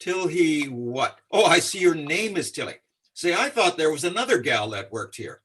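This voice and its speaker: An actor playing an affable, oblivious bachelor jockey who drops in as the neighbor in an old-time radio comedy. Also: male voice, on the high side, very animated, moderate pace, fairly bright tone, husky, exaggerated voice. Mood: breezy, affable.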